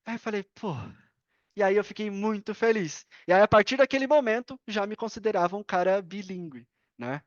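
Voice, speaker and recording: A man's voice: high-pitched, brisk. Brazilian guy chatting casually on a podcast call, slangy storytelling, a bit muffled, no room echo. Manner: informal, slangy, animated retelling with acted-out dialogue. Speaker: podcast guest. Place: indoors at a computer on a video call. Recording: slightly dull, dry online-call audio.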